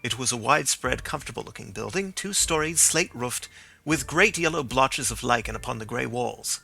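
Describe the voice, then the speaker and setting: A man narrating a classic story for an audiobook, reading in a steady, descriptive way. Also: medium pitch, fairly quick, creaky, breathy edge, soft background music.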